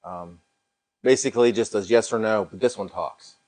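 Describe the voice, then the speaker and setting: A man's voice: lowish voice, normal speed, a little mellow. A middle-aged American man hosting a paranormal livestream in a casual, folksy way, repeating himself as he shows a product.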